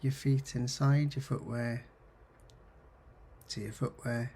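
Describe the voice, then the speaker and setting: A man leading a guided meditation in a husky, gravelly voice, giving gentle, soothing instructions in short phrases with pauses. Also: medium pitch, fairly even intonation. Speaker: counsellor guiding mindfulness. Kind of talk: guided meditation, body scan.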